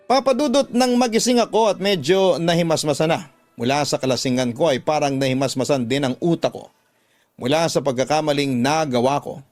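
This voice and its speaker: A man reading a first-person story as a scripted radio drama narration. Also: on the high side. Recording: background music under the voice.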